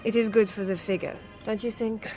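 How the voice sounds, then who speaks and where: A woman's voice: mid-range voice, fairly even intonation, a little fast, warm tone, soft background music, natural voice. Worldly dancer woman, played by an actress. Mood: flirtatious, candid. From Serbia. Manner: coquettish, frank, precise formal phrasing. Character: worldly, flirtatious dancer woman, frank and unsentimental. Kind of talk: radio drama acting.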